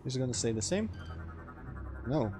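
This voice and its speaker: Russian guy, mid-pitched, mild accent, deadpan let's-play narration over quiet game music. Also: normal speed. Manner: dry, sarcastic asides. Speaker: gameplay commentator. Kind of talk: casual let's play commentary.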